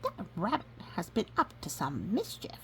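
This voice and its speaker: A woman's voice: mid-range voice, wildly swooping pitch, moderate pace, creaky, a bit bright, a little hiss or hum, voice pushed up, heavily acted. A woman voicing a suspicious guinea pig with a teasing, accusatory manner for a storybook. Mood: accusing, teasing. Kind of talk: storybook character voice.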